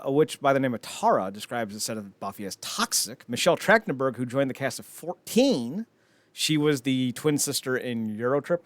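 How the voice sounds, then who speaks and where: A man talks casually as a podcast host. His delivery is lively and varied in pitch, with wry, opinionated asides. The sound is dry, at a middling male pitch. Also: dry recording. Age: 30s. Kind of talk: casual podcast commentary on entertainment news.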